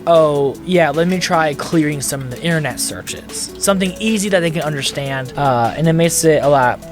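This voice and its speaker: A man's voice: on the high side, normal speed, over music. A man hosting a podcast who explains things step by step in a loose, chatty way. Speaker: podcast host. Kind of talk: casual podcast chat.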